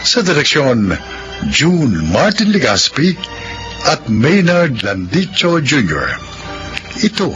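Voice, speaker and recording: A man announcing credits and introducing a radio drama episode in a formal, measured manner. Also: a little high, very animated, fairly bright tone, dull audio. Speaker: program announcer. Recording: music bed under voice.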